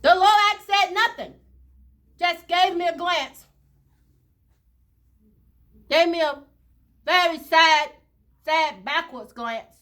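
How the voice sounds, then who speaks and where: A woman's voice: extremely high pitch, slow, pauses often, a bit bright. A woman reading a picture book aloud to children, expressively and in short dramatic phrases, often speaking to them directly.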